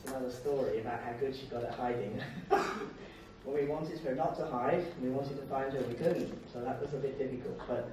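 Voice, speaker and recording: An older man with an Australian accent giving an informal public talk, conversational and self-deprecating, in short halting phrases. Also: medium pitch, steady tone. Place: indoor lecture hall. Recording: very echoey hall, distant mic, noisy.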